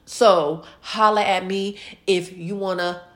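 A woman's voice: fairly low pitch, steady tone, slow, fairly bright tone. Blunt, emphatic woman chatting casually. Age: late 30s, turning forty. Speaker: host of a live online show. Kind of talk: casual celebrity gossip and personal chat to followers.

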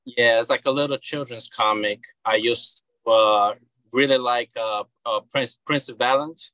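A Brazilian man in his thirties speaks with a Brazilian Portuguese accent, a guest in a relaxed interview. His tone is bright and forceful, heard in a dry space without room echo. He stops and restarts his sentences often. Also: medium pitch, unhurried. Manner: hesitant, many repeated words and restarts. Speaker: guest, comic book creator. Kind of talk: casual interview chat.

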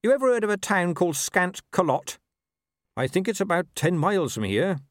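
A scheming, streetwise talking dog from a storybook, played by a man, pitched well up. He wheedles urgently in colloquial speech, in a dry, close recording. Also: sing-song, moderate pace, dry recording. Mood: urgent, wheedling.

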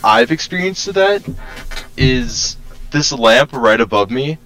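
A man's voice: medium pitch, expressive, slow, a bit bright, a little hiss or hum. A young man co-hosting a podcast in casual, joking group conversation. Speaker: podcast co-host. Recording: poor podcast audio with playback bleed.

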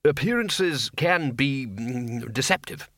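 A man's voice: medium pitch, expressive, slow pace, a bit bright, no room sound, studio quality. A man voicing a brusque, irritable male head wizard from a storybook, impatient and bossy. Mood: brisk.

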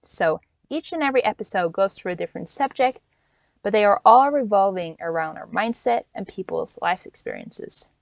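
A woman's voice: medium pitch, lively intonation, normal speed, gravelly, no echo. A Norwegian-born woman in her twenties hosting a solo coaching podcast from inside a van, talking in an earnest, encouraging way with small restarts and laughs. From Norway. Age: 20s. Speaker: podcast host and life coach.

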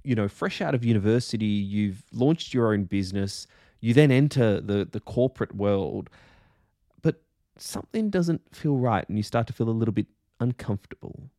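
Mellow, expressive male podcast host. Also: fairly low pitch, normal speed, no echo, clean studio sound. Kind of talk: scripted introductions and interview questions.

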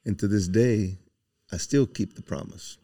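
A man's voice: on the low side, unhurried, dark tone, no room sound, studio quality. A man in his seventies hosting a casual, folksy conversational interview, dropping in Spanish filler words. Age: 70s. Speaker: interview host.

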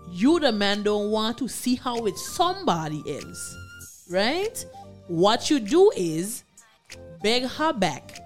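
Slow, lively woman asking questions. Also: medium pitch. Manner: drawn-out, emphatic questioning, teasing. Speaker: radio show co-host. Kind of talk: casual radio talk show discussion.

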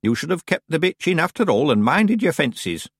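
A man voicing a very old, sharp-tongued village witch woman who bosses people about in an imperious, fussy way. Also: on the high side, big pitch swings, normal speed, breathy edge, no room sound, studio quality, voice pushed up, full character voice. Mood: commanding.

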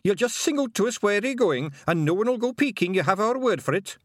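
A man doing a chirpy high Scottish pixie lad. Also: animated, a little fast, dry recording, clean studio sound. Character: wiry young male pixie, eager and dutiful.

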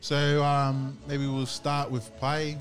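A man's voice: on the high side, slow, faint music behind. A young man co-hosting a casual podcast chat, telling stories with easy laughs. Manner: relaxed, laughing storyteller. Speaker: podcast co-host.

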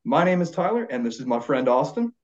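A man co-hosting a podcast in casual chat, relaxed and rambling, with many fillers and restarts. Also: medium pitch, a little fast. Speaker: podcast co-host. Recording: dull lo-fi sound.

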